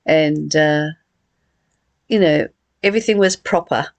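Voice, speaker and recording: A woman hosting a spiritual study, reading aloud and reflecting casually in a halting, deliberate way. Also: low-pitched, no echo. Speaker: host of a spiritual book study.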